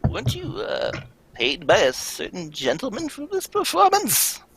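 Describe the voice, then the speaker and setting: A woman playing a loyal, fretful gentleman sidekick in a radio drama, earnest and excitable, with a husky voice. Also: medium pitch, unhurried, background noise, heavily acted. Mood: eager, conspiratorial. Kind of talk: old-time radio drama script read live.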